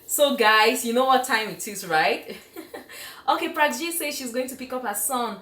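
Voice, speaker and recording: A woman's voice: on the high side, animated, moderate pace, sharp tone. Woman, cooking show host, live stream, enthusiastic, laughs a lot, roomy home kitchen. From Cameroon. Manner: chatty, enthusiastic, laughs often. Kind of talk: live-stream cooking demo, reading viewer comments.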